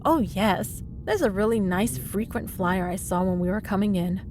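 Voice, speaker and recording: A cheeky female supervillain played by a voice actress in a comedy audio drama. Her voice sits at a middle pitch, and she teases with breezy, smug sarcasm, entirely at ease as a troublemaker. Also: moderate pace, over music, lightly acted. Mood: sassy banter. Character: cheeky, smug woman supervillain, frequent hospital patient. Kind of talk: comedic audio roleplay acting.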